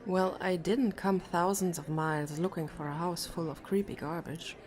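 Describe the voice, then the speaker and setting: A stubborn teenage boy, played by a woman, in an audiobook drama. He talks casually and tersely, on the defensive, in a dark and slightly low voice. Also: steady tone, moderate pace, a little hiss or hum, natural voice. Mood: disappointed.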